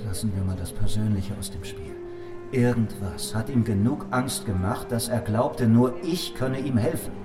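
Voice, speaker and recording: Man acting world-weary low-voiced noir detective over music. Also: normal speed, natural voice. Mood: pleading, earnest. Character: down-on-his-luck male noir detective, wry and desperate. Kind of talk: first-person noir audio drama narration and dialogue. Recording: music playing behind the voice.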